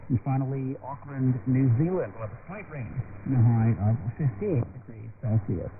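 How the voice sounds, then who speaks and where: A male radio presenter reads news and weather with a gravelly creak in his voice. It comes over shortwave, thin and narrow like a phone line, with clear static noise around it. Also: medium pitch, animated, normal speed.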